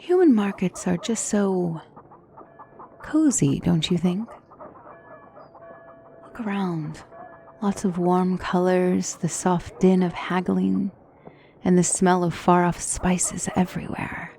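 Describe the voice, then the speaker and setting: Female demonic tutor, played by a woman, fantasy ASMR roleplay: lowish, gravelly-edged voice, poised and courtly, a gently reassuring mentor. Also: slight background noise. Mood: gentle, amused. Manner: formal, measured, courtly phrasing. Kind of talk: ASMR fantasy roleplay, scripted character acting.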